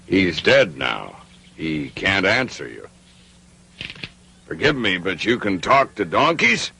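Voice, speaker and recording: An actor playing a gruff, mocking but kindly farmer in a cartoon dub, drawling and exaggerated. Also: male voice, sits low, sing-song, slow, bright, forceful tone, exaggerated voice. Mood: blunt, puzzled. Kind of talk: classic cartoon dub acting.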